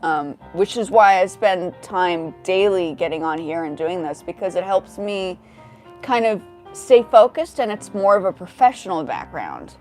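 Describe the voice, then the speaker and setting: A woman's voice: on the low side, expressive, measured pace, a little mellow, soft background music. A woman in her forties hosting a casual livestream, talking to chat in a rambling way with many restarts. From grew up in South Africa, the United States and Japan. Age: 40s. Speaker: livestream host.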